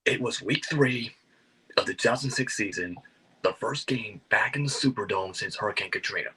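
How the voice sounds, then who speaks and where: A man's voice: lowish voice, moderate pace, bright, forceful tone, a little hiss or hum, rough recording. A man from Alabama, answering as a sports fan guest in a brief, matter-of-fact way. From Alabama, United States. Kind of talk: casual sports interview answers.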